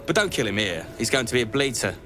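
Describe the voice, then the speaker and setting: A man's voice: medium pitch, big pitch swings, quick pace, sharp tone. A man playing a roguish smuggler in a video game, with a cheeky, haggling, colloquial manner. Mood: shrewd haggling. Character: gruff roguish male smuggler hawking a captive painter. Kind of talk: video game character dialogue. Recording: game dialogue over clear background music.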